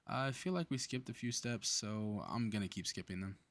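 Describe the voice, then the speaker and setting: A man's voice: fairly low pitch, moderate pace, close to an everyday voice. A man playing a cocky, playful superhero in a flirty ASMR roleplay audio drama, with casual teasing banter.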